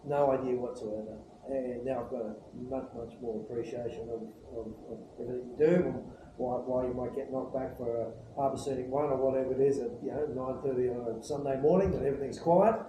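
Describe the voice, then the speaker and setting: A man's voice: mid-range voice, steady tone, fairly quick, hardly any pauses, dull audio. A man, a visiting pilot giving casual, appreciative feedback. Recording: distant, echoey, noisy room.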